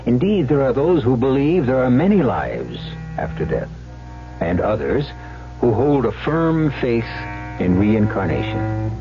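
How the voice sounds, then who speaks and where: A man hosting a radio drama, reading scripted narration in a deliberate, portentous manner. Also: medium pitch, sing-song. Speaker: host narrator. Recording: dull lo-fi vintage radio recording. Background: music.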